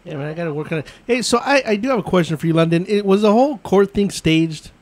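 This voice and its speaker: A man's voice: sits high, lively intonation, fast talker, a little hiss or hum. Upbeat man hosting a radio show. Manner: eager, praising interviewer. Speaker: radio talk show host. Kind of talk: casual radio interview.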